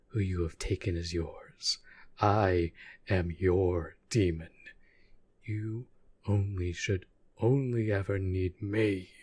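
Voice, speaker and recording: A man playing a possessive, proud and devoted demon lover in an intimate audio roleplay, speaking formally and intensely with a breathy, husky voice. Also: sits low, dry recording, exaggerated voice. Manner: slow, formal, deliberate, intense.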